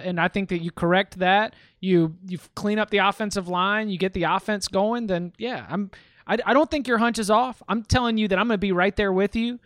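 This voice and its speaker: A man's voice: sits high, a bit bright, smooth, no echo, clean studio sound. A man hosting a casual sports talk podcast, thinking aloud with lots of restarts. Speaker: podcast host.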